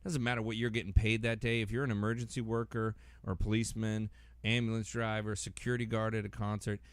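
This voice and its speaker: A man hosting a podcast, talking casually in a rambling way and getting animated as he acts out imagined quotes. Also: fairly low pitch, quick pace, no room sound. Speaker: podcast host.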